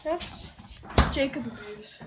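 Girl vlogger w a highish voice, slangy n mumbly asides, super noisy home video. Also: slow. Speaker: vlog host. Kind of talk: casual challenge vlog chat.

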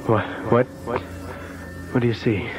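A man's voice: mid-range voice, steady tone, measured pace, lightly acted. A man acting a fearful policeman in a radio horror drama, stammering and pleading. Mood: frightened. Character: panicky, fearful policeman confronting an unseen horror. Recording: muffled 1970s radio drama with loud music.

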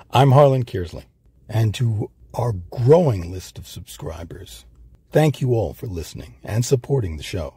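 An American man with a husky, mellow voice, reading scripted narration as a series host. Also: fairly low pitch, lively intonation, a little slow, dry recording. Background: music.

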